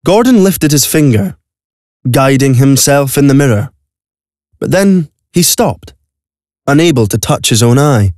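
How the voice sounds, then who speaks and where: A man's voice: medium pitch, animated, unhurried, long pauses, darkish tone, no room sound. Male audio-play narrator, close dry sound. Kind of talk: scripted dramatic story narration. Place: recording studio.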